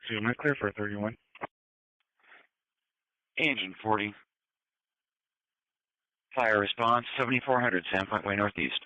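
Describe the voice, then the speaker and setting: Bright, low-voiced male fire dispatcher. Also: a little slow, long pauses, no echo, lo-fi sound. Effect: radio transmission. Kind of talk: procedural radio dispatch.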